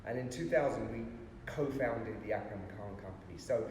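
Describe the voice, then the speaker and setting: A man in his fifties, from Pakistan and raised in North London, talking casually about his work as a dance producer, animated and laughing often. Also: lowish voice, moderate pace. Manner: animated, laughs often, frequent "you know". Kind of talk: casual interview. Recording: echoey hall with faint noise.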